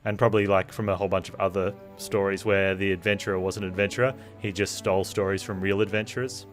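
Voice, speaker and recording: A woman's voice: rumbling low, steady tone, quick pace. A woman doing casual, wry, blunt commentary while streaming a video game. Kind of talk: casual live gameplay commentary. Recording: game music loud behind.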